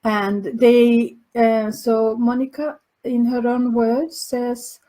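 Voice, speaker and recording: A Finland Swedish woman hosting a parenting podcast, answering listener questions slowly with many fillers and restarts. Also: a little high, little pitch movement, dark tone, rough recording. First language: Finland Swedish dialect. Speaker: podcast host and language coach.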